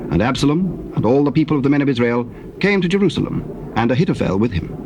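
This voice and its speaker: A man's voice: mid-range voice, big pitch swings, quick pace. Male narrator, scripted scripture storytelling, solemn, varied intonation, noisy old recording. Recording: noisy old audio tape.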